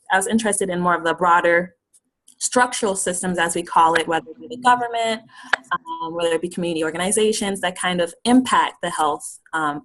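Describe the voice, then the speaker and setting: Casting: young woman, lowish voice, earnest student guest, video call. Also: fairly bright tone, low-quality recording. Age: 20s. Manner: earnest, self-correcting. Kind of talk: webinar talk.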